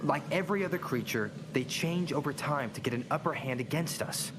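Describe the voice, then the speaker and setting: An actor playing an eager, pedantic researcher who lectures in precise, wordy sentences in a video game cutscene. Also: male voice, on the high side, animated, a little fast, noisy background, close to an everyday voice. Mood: lecturing. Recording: music behind the voice.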